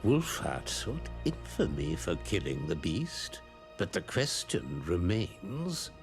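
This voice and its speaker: Grim menacing game pirate, deep, swoops around dramatically, music playing loud. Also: male voice, wildly swooping pitch, takes it slowly, gravelly, full character voice. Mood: ominous. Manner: ominous, theatrical.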